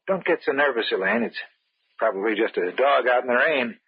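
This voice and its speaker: An actor playing a calm, reasonable man hosting friends in a cabin, in old-time radio drama style. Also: mid-range voice, brisk, breathy edge, no room sound. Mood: calm, reassuring. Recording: muffled old radio broadcast.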